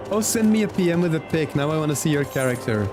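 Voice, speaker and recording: A man livestreaming a video game, chatting in an easygoing way and reacting aloud to the game and his viewers. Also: on the high side. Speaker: game streamer. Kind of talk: casual livestream chat while playing. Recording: music behind the voice. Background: game music.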